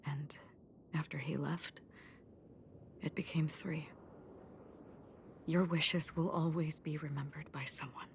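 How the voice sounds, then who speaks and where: Low-pitched woman, game heroine, solemn, gentle, hushed, breathy, reflective, light background ambience. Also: steady tone, slow, long pauses, a little hiss or hum, exaggerated voice. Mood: tender, wistful. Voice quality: soft, breathy, half-whispered. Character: solemn, gentle woman paying quiet tribute to the departed. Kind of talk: scripted game cutscene monologue.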